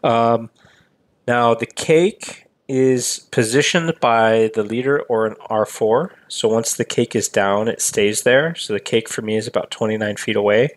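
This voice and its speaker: Slightly raspy man explaining a mobile game. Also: mid-range voice, unhurried. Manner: relaxed, many ums, explains step by step. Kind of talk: casual game walkthrough commentary.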